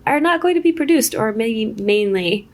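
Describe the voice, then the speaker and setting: A woman from Israel talking casually as an interview guest, telling stories in a chatty, halting way that often interrupts itself. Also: on the high side, lively intonation, a little mellow. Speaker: guest, screenwriter. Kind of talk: casual conversational storytelling.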